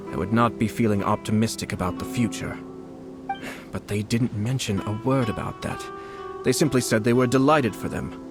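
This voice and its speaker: An actor playing a composed young male noble commissioner who speaks in a calm, measured, courteous way in a game cutscene. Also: lowish voice, close to an everyday voice. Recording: dialogue over music score. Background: orchestral game music.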